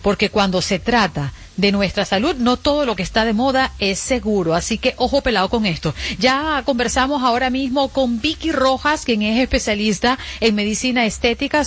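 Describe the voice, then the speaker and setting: A woman with a mixed Venezuelan and Caribbean Spanish accent hosts a morning radio show. Her voice sits a little high, playful in the chat and brisk and even on the headlines, close on a studio microphone. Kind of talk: lively morning radio chat and news reading.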